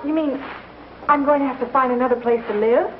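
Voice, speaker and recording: A woman's voice: high voice, a little fast, soft background music, close to an everyday voice. A woman playing an earnest Old West schoolteacher with proper, precise diction in a vintage TV western. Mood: bewildered. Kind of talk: 1950s TV western acting. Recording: dull vintage TV soundtrack, faint noise.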